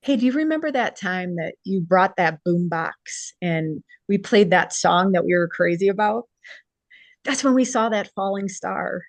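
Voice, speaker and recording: A woman's voice: medium pitch, lively intonation, unhurried, no room sound. An actress reading a hopeful, persuasive small-town woman in a holiday romance table read. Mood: nostalgic.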